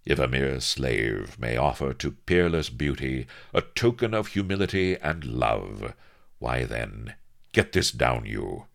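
A man voicing a cunning, mock-humble captain in a story, flattering in flowery archaic courtly speech. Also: very deep voice, takes it slowly, gravelly, full character voice. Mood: fervent flattery.

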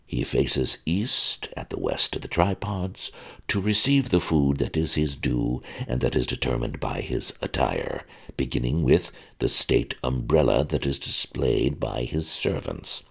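A man voicing a pedantic butler in an audiobook, reciting etiquette in a dry, measured way. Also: very deep voice, expressive, gravelly, breathy edge, heavily acted.

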